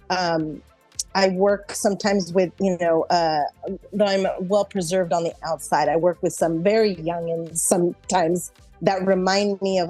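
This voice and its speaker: A woman's voice: mid-range voice, a little mellow. A middle-aged woman actor chatting casually in an interview, speaking in a reflective, conversational way.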